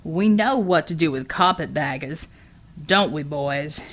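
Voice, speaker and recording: A woman voicing a heavy-set, bullying male gang leader with a slow, taunting drawl in an audiobook. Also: deep voice, expressive, a bit bright, voice pushed down, exaggerated voice.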